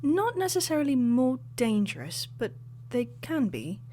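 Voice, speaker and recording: Woman doing a history expert lady, calm and teachy, faint hiss behind. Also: sits high. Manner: measured, lecturing. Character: female artifact historian and author, fond teacher. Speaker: expert guest. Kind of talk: audio drama acting.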